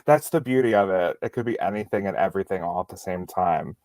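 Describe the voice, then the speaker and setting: A man's voice: low voice, fast, a little mellow, dry recording. A man hosting a show, chatting casually and informally as he feels his way into questions. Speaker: variety show host, interviewer. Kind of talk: casual interview chat.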